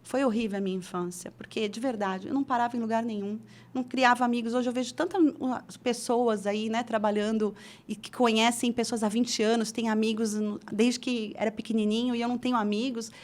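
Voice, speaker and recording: A woman's voice: a little high, fairly even intonation, a little fast, hardly any pauses, darkish tone. A middle-aged woman from Brazil, an interview guest telling her career story in a chatty way with many restarts. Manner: chatty, frequent restarts and fillers.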